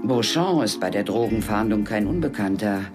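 A man's voice: on the low side, expressive, moderate pace, music in the background, close to an everyday voice. An actor voicing a brothel madam who reports to her pimp in a drawling, knowing way. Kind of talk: radio drama acting.

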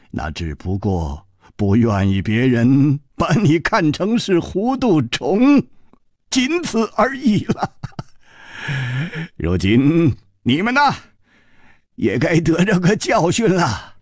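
Man acting an old physician with swooping pitch. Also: very animated, takes it slowly, darkish tone, no echo, studio quality, full character voice. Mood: amused admonishing. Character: old male renowned physician, chuckling and admonishing.

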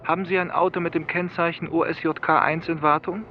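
An actor playing a brisk, dry, official male police detective in a radio drama. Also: fairly high pitch, level tone, slight background noise, close to an everyday voice. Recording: dull sound with faint vehicle ambience.

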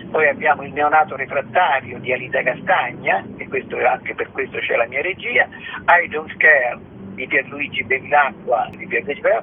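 A man giving interview answers as a festival director, haltingly, searching for his words. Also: medium pitch. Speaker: theatre festival artistic director, radio guest. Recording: narrow phone line with background noise.

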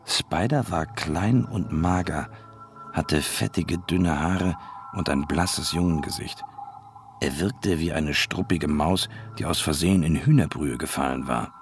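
An actor playing a world-weary, laconic noir narrator in a radio drama, telling the story with resignation. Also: male voice, very deep voice, unhurried. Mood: wry. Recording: music playing behind the voice.

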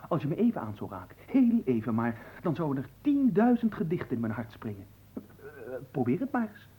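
An actor in a Dutch radio play voicing a cheeky, flirtatious manservant, theatrical and coaxing, often dropping into hushed pleading. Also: male voice, medium pitch, big pitch swings, brisk, slightly rough, narrow, tinny audio. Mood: wooing. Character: cheeky, flirtatious manservant, smooth-talking and playfully servile.

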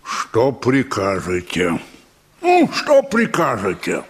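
An actor playing a gruff, indifferent male dentist in a radio play, curt and given to grunting. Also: on the low side, wildly swooping pitch, full character voice. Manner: curt, grunting, unhurried bedside muttering. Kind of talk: radio play character acting.

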